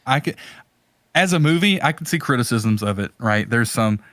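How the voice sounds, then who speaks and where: A man hosting a podcast in casual conversation, talking in a halting, rambling way as he searches for words. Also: medium pitch, animated, a bit bright, no echo. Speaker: podcast host.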